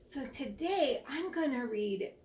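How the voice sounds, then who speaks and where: Woman, very high voice, slow pace, sing-song children's story reader, echoey room. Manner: sing-song, animated, addressing children directly. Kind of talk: read-aloud to young children.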